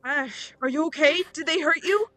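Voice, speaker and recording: A woman's voice: high-pitched, moderate pace, bright, forceful tone, no echo. A woman voicing a fiercely protective, determined older sister in an amateur Minecraft roleplay, commanding and wry. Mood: alarmed.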